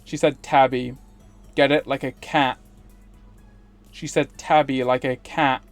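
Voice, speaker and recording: Livestreamer, visual novel read-aloud, casual asides, mid-pitched voice, music underneath. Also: level tone, slow pace.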